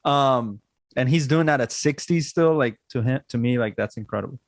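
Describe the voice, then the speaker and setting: A man's voice: medium pitch, moderate pace, dry recording. A man in his forties with a Persian accent, who grew up in Iran, hosting a casual podcast chat full of fillers and repeated yeahs. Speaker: podcast host.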